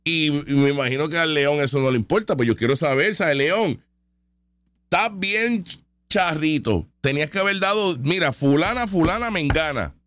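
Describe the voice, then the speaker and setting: Male host, sarcastic and argumentative, with a rather high voice that swoops all over. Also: lively intonation, normal speed, a bit bright, no room sound. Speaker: online commentary host. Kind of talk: live reaction commentary.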